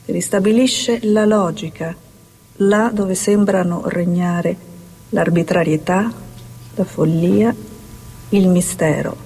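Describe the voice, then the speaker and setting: An actress playing a reflective woman journalist in a solemn, measured dramatic monologue. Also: on the low side, dark tone, slightly rough, exaggerated voice. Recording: long hall-like reverb.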